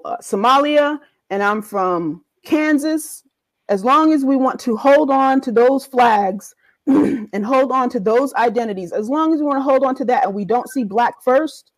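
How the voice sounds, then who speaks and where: A woman's voice: fairly high pitch, no echo. A woman speaking passionately in a discussion, stressing points by repeating them. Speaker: guest in a discussion.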